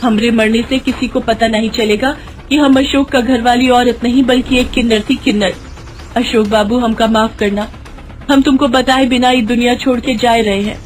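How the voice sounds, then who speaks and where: A woman's voice: fairly high pitch, steady tone, normal speed, pitched above the performer's own voice, close to an everyday voice. A woman voicing a naive, devoted young village bride who speaks in rustic dialect turns of phrase, in an acted story. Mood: despairing. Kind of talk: Hindi moral story acting. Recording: music behind the voice.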